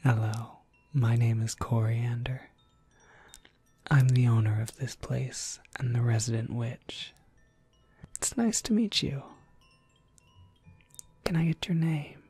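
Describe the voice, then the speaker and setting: A woman playing a gentle, courteous witch shopkeeper in an ASMR roleplay, speaking in an unhurried, breathy whisper. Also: a bass voice, heavily acted. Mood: welcoming. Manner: unhurried, polite, softly explanatory. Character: gentle witch shopkeeper, courteous, quietly proud of her craft.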